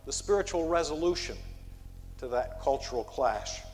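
A man preaching an expository sermon from a pulpit, measured, with emphatic rises on key words. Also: a little high, animated, a little mellow, long reverb tail. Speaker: pastor. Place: church sanctuary.

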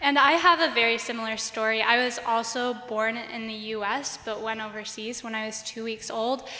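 A woman's voice: a little high, fairly even intonation, moderate pace, pushed and bright, some chatter behind, a little hiss or hum. A young woman who grew up in Bosnia and Herzegovina, speaking on a student panel in an earnest, upbeat way. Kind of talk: casual panel talk. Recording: echoey hall.

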